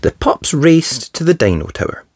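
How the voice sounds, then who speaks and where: A storybook reader narrating for young children in an expressive, theatrical, emphatic way. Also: medium pitch, moderate pace, slightly rough. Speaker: storybook reader, channel host. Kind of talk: animated children's read-aloud narration.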